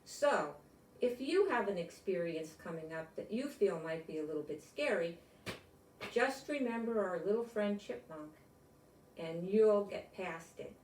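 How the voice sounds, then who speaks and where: An older woman reading a picture book aloud with chatty asides, explaining gently and simply as if to children. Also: fairly low pitch, lively intonation, a little slow, slight background noise. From United States. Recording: roomy echo, distant microphone.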